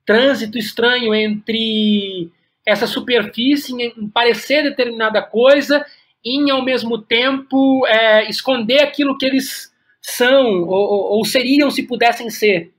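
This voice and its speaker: Brazilian guy as interview guest, thinking out loud, keeps stumbling and repeating words. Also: very high-pitched, a little slow. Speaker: guest playwright. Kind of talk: reflective interview conversation.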